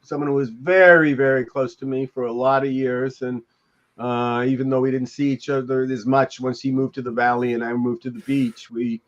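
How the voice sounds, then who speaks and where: A man's voice: medium pitch, little pitch movement, normal speed. Older man, dull lo-fi call audio. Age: older, 60s or 70s. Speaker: podcast co-host. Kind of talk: casual reminiscing chat. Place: room at home, indoors.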